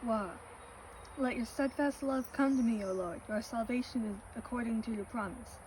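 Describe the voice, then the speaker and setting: A woman's voice: fairly high pitch, moderate pace, darkish tone, a little hiss or hum, rough recording. A woman reciting a passage of scripture aloud. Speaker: reader.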